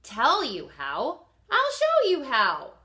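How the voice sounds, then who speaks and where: A woman voicing a confident, secretive dad from a children's storybook in an emphatic, deliberate way. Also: high voice, wildly swooping pitch, bright, forceful tone, pitched above the performer's own voice, heavily acted. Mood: knowing, emphatic.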